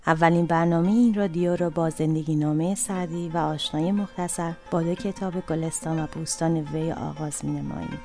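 Female radio announcer, low-pitched, polite and formal, music bed underneath. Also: steady tone, few pauses, dark tone. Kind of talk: program introduction.

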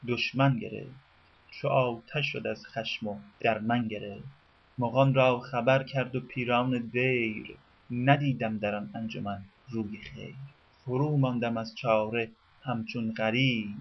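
A man narrating a scripted radio program in a measured, formal way, slowing down to recite classical verse. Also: medium pitch, dull audio.

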